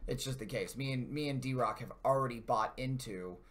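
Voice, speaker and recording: A man's voice: medium pitch, moderate pace, fairly bright tone. A man hosting a gaming live stream, talking casually and enthusiastically in a loose, rambling, slangy way. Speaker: host of a video game channel's live stream.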